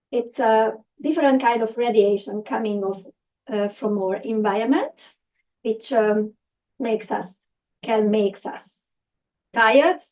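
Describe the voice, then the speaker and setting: Presenter: woman with a Hungarian accent and a somewhat high voice, halting and filler-heavy, recorded from across the room. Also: darkish tone. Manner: hesitant, many fillers and restarts. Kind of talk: public talk to a live audience. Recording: distant, dull lo-fi room recording.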